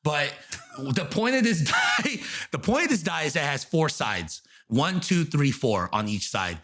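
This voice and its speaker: A man in his thirties co-hosting a podcast, chatting casually and rambling, laughing often. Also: unhurried. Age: 30s. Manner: rambling, laughs often, lively swings in pitch. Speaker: podcast co-host. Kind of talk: casual chat.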